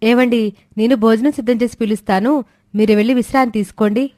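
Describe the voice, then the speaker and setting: A man's voice: extremely high pitch, steady tone, fast talker, darkish tone, no room sound, clean studio sound, pitched above the performer's own voice. A man voicing a patient, polite young wife and mother in a spoken moral story.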